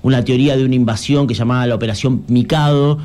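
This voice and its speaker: A man's voice: medium pitch, steady tone, mellow. Earnest Argentine male journalist. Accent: Argentine Spanish. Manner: earnest, explanatory, halting with restarts. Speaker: journalist guest. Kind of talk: conversational interview.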